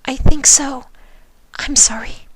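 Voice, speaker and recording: A storyteller voicing a timid, gentle young female pegasus in a soft, breathy, hesitant way. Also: high voice, steady tone, slow, dry recording, voice pushed up, low-quality recording. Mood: meek, anxious. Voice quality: soft, breathy, trailing into whispers. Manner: hesitant, apologetic.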